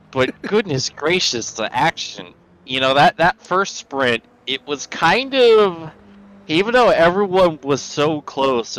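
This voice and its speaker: A man with a hoarse voice giving analytical color commentary on a sim race. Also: medium pitch, a bit bright, poor audio. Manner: analytical, explains car handling.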